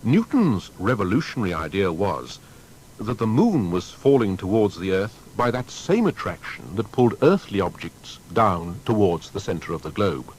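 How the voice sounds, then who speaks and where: A male narrator delivers scripted biography with articulate, emphatic phrasing. His voice is fairly low with a lively, wide-ranging melody, recorded with faint noise and rumble. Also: normal speed, poor audio.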